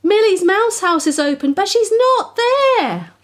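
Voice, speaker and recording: A woman voicing an eager, excitable little schoolboy in a children's storybook, calling out. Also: extremely high pitch, measured pace, voice pushed up. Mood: alarmed shouting.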